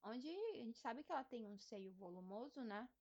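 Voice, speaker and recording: A woman co-hosting a casual fan podcast, quick and animated as she leads the topics. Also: a little high. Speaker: podcast co-host. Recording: muffled lo-fi audio.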